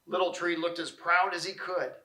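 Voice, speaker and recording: A man reading a children's storybook aloud, with expressive and deliberate phrasing. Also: a little high, roomy sound. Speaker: storybook reader. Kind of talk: children's read-aloud narration.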